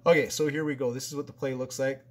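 A man's voice: mid-range voice, little pitch movement, quick pace. A man, a basketball coach, talking through a practice drill at a whiteboard in a plain, conversational way with frequent fillers and restarts. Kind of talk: explaining a drill over a whiteboard diagram.

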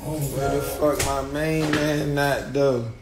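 A hoarse young man on a phone livestream, rapping over a beat and then chatting loosely with a slurred, laid-back delivery. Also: a little high, fairly even intonation, slow, loud music behind the voice. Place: home studio and house, indoors. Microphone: phone held at arm's length.

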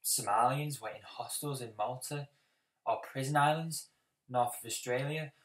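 A man performing a dramatic audition monologue as a bitter, apocalyptic schoolboy, speaking deliberately with heavy pauses. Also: mid-range voice, natural voice. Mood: urgent despair. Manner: deliberate, heavy pauses, swelling from hushed to forceful. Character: bitter, brooding, apocalyptic schoolboy confronting a bully. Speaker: actor auditioning. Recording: roomy, distant microphone.

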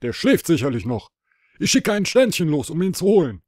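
A man's voice: on the high side, big pitch swings, fairly quick, a little mellow, no room sound, studio quality. A man voicing a kindly, concerned old Man in the Moon in a children's audio play, giving advice. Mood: advising.

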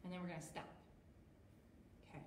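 An American woman in her thirties hosting a toddler storytime in an encouraging, animated, sing-song way. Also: fairly low pitch, fairly quick, reverberant, far from the mic, slight background noise. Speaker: children's storytime host. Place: small plain room indoors.

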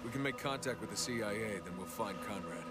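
An actor playing a resolute, dutiful US special forces captain in a military game, with an American accent. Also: male voice, lowish voice, expressive, fast talker, very noisy, natural voice. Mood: brooding, measured. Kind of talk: military drama game dialogue. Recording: reverberant hall, loud music behind.

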